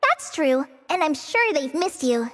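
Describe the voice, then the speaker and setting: A woman voicing a modest, friendly young female forest ranger with soft nervous laughs in a game dub. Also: high-pitched, big pitch swings, a little slow, a little hiss or hum, exaggerated voice. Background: game music.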